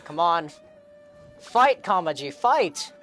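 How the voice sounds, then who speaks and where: A male game streamer giving live, excitable, exclamatory reactions to a game. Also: fairly high pitch, slow. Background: game music.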